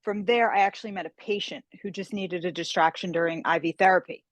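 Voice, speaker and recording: A woman's voice: on the low side, steady tone, fast, no room sound. A woman guest talking about her work as a fantasy author, chatty and enthusiastic, on a video call. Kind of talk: casual interview conversation.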